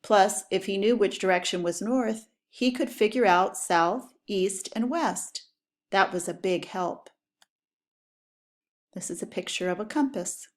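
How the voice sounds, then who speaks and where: A woman teacher reading educational text aloud for pupils, with clear, deliberate, instructional phrasing. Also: mid-range voice.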